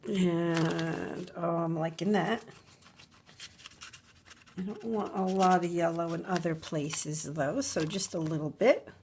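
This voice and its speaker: A woman's voice: fairly low pitch, steady tone, slow, darkish tone, slight background noise. A middle-aged woman, a little congested from a cold, chatting and musing aloud at her craft desk while she works. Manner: chatty, musing aloud, repeats words to herself. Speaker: craft video host. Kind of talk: relaxed crafting tutorial narration. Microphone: overhead camera above a desk, hands only.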